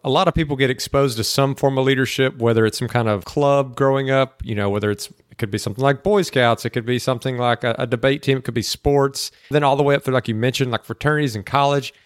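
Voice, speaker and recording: A young man from the Boston area co-hosting a podcast, chatting in a relaxed, rambling way. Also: mid-range voice, fast talker, few pauses, no room sound, studio quality. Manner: relaxed, rambling, lots of fillers like "like". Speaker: podcast co-host. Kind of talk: casual chat.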